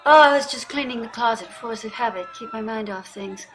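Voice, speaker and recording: A woman's voice: on the high side, a little fast, fairly bright tone, soft background music. A woman acting a wealthy, restrained wife with a brittle, clipped politeness in a play reading. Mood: brittle, polite. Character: wealthy, restrained, quietly bitter wife named Betsy. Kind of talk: staged play reading over video call.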